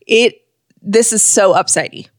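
A woman's voice: medium pitch, measured pace, no room sound. A woman co-hosting a podcast in a casual, chatty style. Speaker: podcast co-host.

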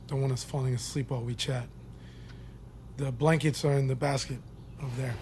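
Audio drama role: actor as calm military psychiatrist, man, accommodating, gently probing, faint ambience behind him. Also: mid-range voice, slight background noise, natural voice. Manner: measured, polite, probing. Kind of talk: naturalistic audio fiction acting.

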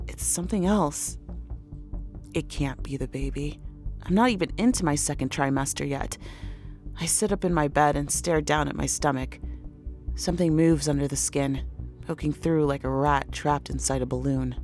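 A woman's voice: low voice, moderate pace, darkish tone, soft background music. A woman playing an anxious, exhausted pregnant woman who narrates her own horror story in an intimate, weary manner. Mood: dread. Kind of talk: first-person horror audio drama narration.

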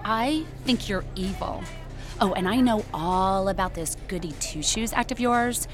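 An American woman playing a spiteful high school mean girl in an audio drama, sarcastic and scornful. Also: measured pace, music in the background, natural voice.

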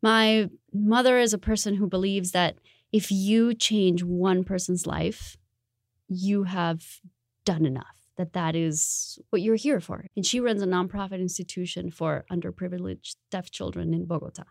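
A woman's voice: lowish voice, fairly even intonation, measured pace, gravelly, no echo. Reflective woman from Colombia, dry sound. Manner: earnest and reflective, with occasional laughs. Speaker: designer, interview guest. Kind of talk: reflective interview answers.

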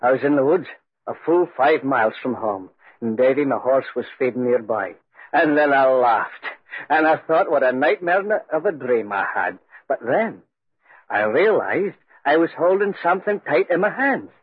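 A man playing an awed but plucky Scottish piper, earnest and husky, in 1940s radio style. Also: medium pitch, lively intonation, normal speed. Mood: dazed storytelling. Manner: earnest, respectful, anxious. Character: awed, plucky Scottish piper telling his ghostly tale. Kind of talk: 1940s radio acting with storytelling. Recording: muffled 1940s radio recording.

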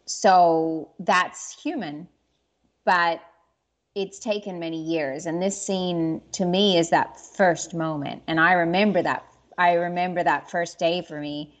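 A woman speaking as an interview guest, earnest and candid, halting at times with restarts as she reflects on personal experiences. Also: fairly low pitch, steady tone.

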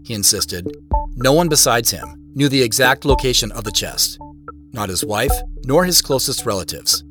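A man acting as a reflective narrator telling his own mystery in an audio drama, in a measured, deliberate way. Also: mid-range voice, animated, over music, natural voice. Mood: measured, informative. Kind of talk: first-person scripted audio drama narration.

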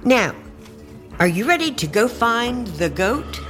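An actress playing a sly, folksy older great-aunt in an audio drama, coaxing and condescending with sweet endearments. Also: female voice, on the high side, sing-song, takes it slowly, a bit bright, faint music behind, exaggerated voice.

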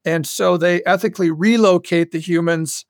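High-pitched man chatting as podcast guest. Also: steady tone, moderate pace, dry recording, clean studio sound. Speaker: podcast guest, audio drama creator. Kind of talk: casual animated interview chat.

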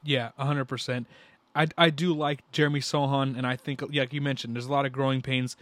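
A young man co-hosting a sports podcast, talking in an excitable, slangy way and repeating himself. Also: medium pitch, brisk, no echo. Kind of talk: casual basketball chat.

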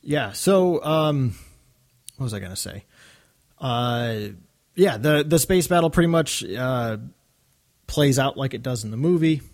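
A man with a mid-pitched voice co-hosts a casual podcast. He talks in an earnest, reflective manner, working through his thoughts conversationally. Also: expressive, unhurried, pauses often, a little mellow. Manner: earnest, reflective, acts out quotes. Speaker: podcast co-host. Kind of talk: casual discussion of a radio drama.

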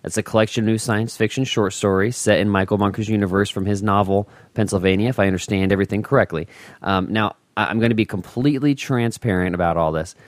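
A man's voice: on the low side, steady tone, quick pace, dry recording. A man hosting a podcast interview in a casual, conversational style. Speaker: podcast host.

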